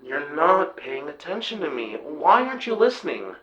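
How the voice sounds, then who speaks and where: Man acting a petulant boastful ghost, highish swinging voice, muffled sound, audio drama. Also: very animated, moderate pace, fairly bright tone. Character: self-important ghost boy bragging of deadly superpowers.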